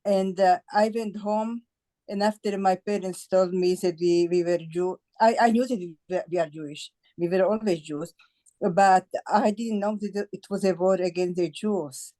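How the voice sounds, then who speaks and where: An elderly woman from Slovakia with a Slovak accent, giving personal testimony haltingly in non-native English. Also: medium pitch, steady tone, dark tone, low-quality recording. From Bratislava, Slovakia. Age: 80s. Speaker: Holocaust survivor giving testimony. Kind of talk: personal testimony in a group discussion.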